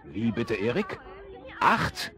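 A man's voice: medium pitch, huge pitch swings, slow pace, over a noisy crowd, heavy background noise. An actor playing a jovial father serving sausages at a children's party, in a vinyl audio drama. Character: jolly father grilling sausages.

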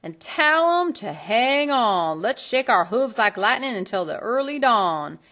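A woman's voice: a little high, sing-song, measured pace, pushed and bright, pitched above the performer's own voice, exaggerated voice. A woman voicing a dashing young cowboy in a storybook, boldly calling out like a square-dance caller. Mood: jubilant.

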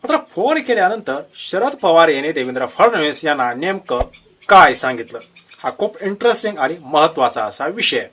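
A man with a Maharashtrian Marathi accent delivers political commentary straight to his viewers. His voice sits fairly high and swings widely in pitch. He explains things emphatically, like someone telling a story, throwing in rhetorical questions as he goes. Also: sing-song, a little fast, pushed and bright, slightly rough.

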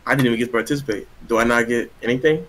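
A man's voice: mid-range voice, very fast. A young man on game voice chat with friends, complaining and swearing casually. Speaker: gamer on voice chat.

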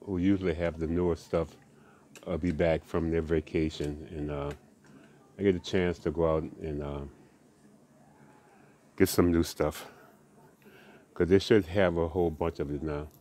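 A man chatting casually about his collection from off camera, relaxed and rambling, with plenty of uhs. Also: deep voice, a little slow, pauses often. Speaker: die-cast car collector vlogger. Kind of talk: casual show-and-tell chat.

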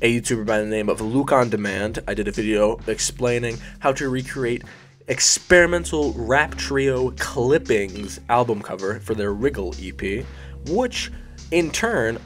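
A man's voice: mid-range voice, unhurried, a bit bright, faint music behind. A young man with a sniffly, allergy-stuffed voice, casually talking through a design tutorial as a voice-over, rambling, self-deprecating and swearing now and then. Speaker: YouTube design tutorial host. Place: hot bedroom.

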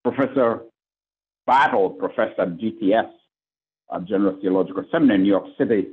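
A man's voice: on the low side, fast, breathy edge, phone-quality sound. A man from Uganda, a priest speaking on a conference panel over a video call, earnest and list-like with fillers. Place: plain indoor room, video call. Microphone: video call webcam.

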